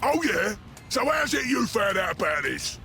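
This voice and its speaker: A man voicing a surly, cocky ork fighter pilot in a video game, curt and impatient, with a gruff Cockney English voice. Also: sits high, very animated, moderate pace, sharp tone, raised voice, soft background music, heavily acted. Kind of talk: video game character acting.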